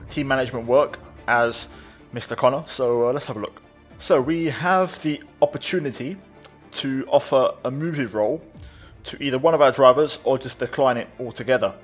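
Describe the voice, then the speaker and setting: A male gaming host talks through his play in a casual, chatty way. He sits at a middle pitch and thinks aloud as he goes. Faint music runs behind him. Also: normal speed. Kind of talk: casual gameplay commentary.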